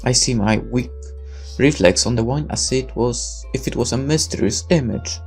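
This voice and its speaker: A man reading a first-person visual novel aloud as narrator, dramatic and deliberate, with halting pauses. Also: mid-range voice, darkish tone, breathy edge, faint music behind.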